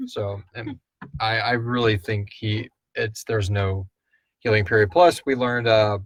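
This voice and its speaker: A man hosting a casual question and answer show, talking like an animated storyteller. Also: lowish voice, a little slow, no room sound. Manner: animated storyteller, acts out quotes, swears casually. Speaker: host of an audio drama Q&A show. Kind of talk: casual fan Q&A chat.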